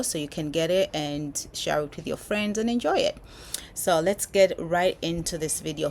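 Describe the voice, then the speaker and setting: Woman creator, low voice, rambling casual vlog delivery, faint noise behind. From Uganda. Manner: rambling, many restarts and fillers. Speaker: YouTube creator. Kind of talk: casual talk to camera. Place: filming while traveling abroad.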